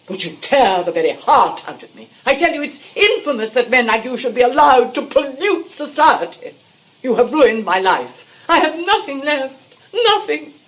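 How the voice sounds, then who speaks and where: High-pitched theatrical matriarch, melodramatic and self-dramatising, stage comedy style. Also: female voice, sing-song, moderate pace, rough recording. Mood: melodramatic anguish. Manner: grandly melodramatic, declamatory. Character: histrionic retired actress and mother, self-dramatising.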